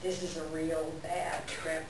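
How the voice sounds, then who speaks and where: A woman's voice: deep voice, level tone, slow. A woman playing a sly, selfish coyote trickster in a staged folktale reading, with folksy, boastful, wheedling talk. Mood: incredulous, grumbling. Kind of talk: staged reader's theater. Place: live reading in a library room. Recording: echoey room, distant mic, background noise.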